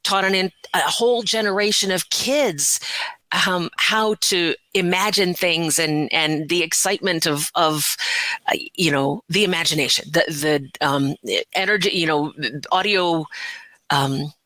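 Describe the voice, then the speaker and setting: A middle-aged woman talking as an interview guest, conversational, passionate, earnest and expressive. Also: on the low side, measured pace, dry recording. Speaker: interview guest, voiceover artist and audiobook narrator.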